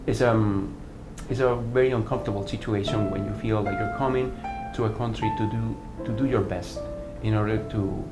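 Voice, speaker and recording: A lowish-voiced man from Venezuela, speaking English with a Venezuelan accent. He tells his story earnestly and haltingly, restarting his phrases often. The voice sits in a roomy space with music playing clearly underneath. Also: steady tone. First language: Spanish. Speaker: artistic director of a dance company. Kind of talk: personal testimony interview.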